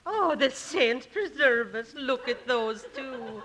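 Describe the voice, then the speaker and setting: A woman's voice: high voice, expressive, slow, fairly bright tone, full character voice. An actress playing an exasperated, sentimental middle-aged Irish mother in a theatrical 1950s radio musical comedy. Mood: fond exasperation. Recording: noisy old radio broadcast.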